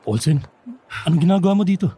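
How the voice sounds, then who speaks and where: A man's voice: fairly high pitch, lively intonation, fast, warm tone, slightly rough. An actor with a Filipino accent playing an evasive, ashamed middle-aged father in a radio drama. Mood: evasive, uneasy. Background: music.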